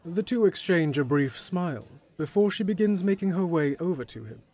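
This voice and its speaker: A man's voice: fairly high pitch, animated, moderate pace, a little mellow. A man reading scripted, descriptive narration for an audio drama.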